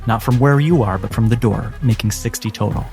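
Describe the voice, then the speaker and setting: A man narrating a tabletop game as game master, with deliberate, dramatic phrasing. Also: fairly low pitch, a little mellow. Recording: music behind the voice. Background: clear music.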